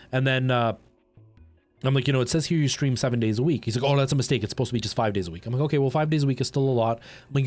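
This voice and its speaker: A man hosting a livestream, chatting casually and colloquially with his viewers in a rambling, animated way. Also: mid-range voice. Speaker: live streamer and host.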